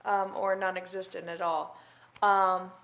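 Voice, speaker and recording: A woman's voice: fairly low pitch, level tone, unhurried, gravelly, a bit bright, slight background noise. A young adult woman chatting casually to camera in a diary-style vlog, rambling with lots of fillers. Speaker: vlogger.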